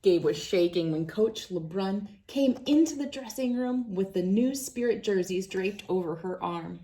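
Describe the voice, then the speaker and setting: A woman's voice: mid-range voice, animated, unhurried, warm tone. A woman reading a children's storybook aloud as narrator. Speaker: children's book reader.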